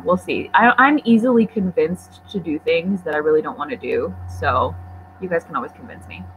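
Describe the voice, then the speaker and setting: A young woman born in Poland chatting casually on a livestream, answering viewer questions in a rambling, self-deprecating way with many fillers. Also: medium pitch. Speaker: book vlogger. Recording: tinny phone-like livestream audio, faint noise.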